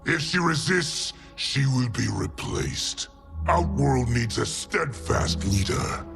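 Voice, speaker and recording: An actor playing a scheming, ambitious warlord in a video game, solemn, formal and self-righteous over dramatic music. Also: male voice, medium pitch, expressive, slow pace, creaky.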